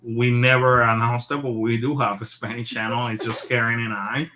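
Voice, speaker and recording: A man from Puerto Rico hosts a casual livestream. He talks in a relaxed, chatty way with many fillers, at an ordinary middle pitch. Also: normal speed, a little hiss or hum. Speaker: host.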